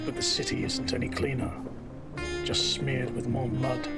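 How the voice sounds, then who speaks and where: An actor playing a hard-boiled, self-important lawman, narrating a noir audio drama in a pompous, brooding deadpan. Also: mid-range voice, creaky.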